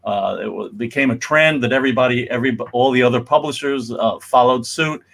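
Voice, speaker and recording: A man hosting an event with an informal introduction, speaking in a halting, rambling way. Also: medium pitch, gravelly, fairly bright tone. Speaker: host, museum executive director.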